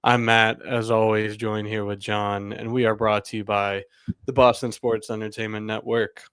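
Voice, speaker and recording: A man's voice: on the low side, level tone, moderate pace, a bit bright, clear, resonant tone, dry recording. A young man hosting a casual sports podcast, a little under the weather and rambling with lots of "like" and laughs. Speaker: podcast host.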